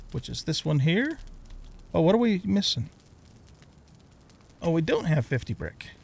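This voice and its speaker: A man's voice: fairly high pitch, gravelly. A middle-aged man giving casual let's play commentary as a YouTube host, rambling and thinking aloud as he plays.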